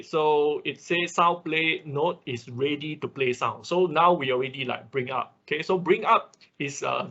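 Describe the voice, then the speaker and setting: Husky, bright-voiced male online instructor. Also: fairly high pitch, dull audio. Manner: halting, step-by-step explaining with frequent check-in tags. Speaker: instructor of an online robotics class. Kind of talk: informal software tutorial with live demonstration.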